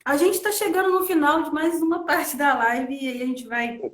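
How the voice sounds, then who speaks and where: A woman's voice: sits high, fairly even intonation, moderate pace, fairly bright tone. A woman from Brazil hosting a live stream, speaking in a casual conversational way and leaning on fillers as she talks. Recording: echoey room.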